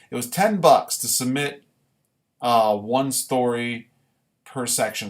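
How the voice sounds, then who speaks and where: Casual, rambling man chatting on a livestream. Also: mid-range voice. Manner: rambling, halting delivery with frequent self-corrections. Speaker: livestream host; amateur writer.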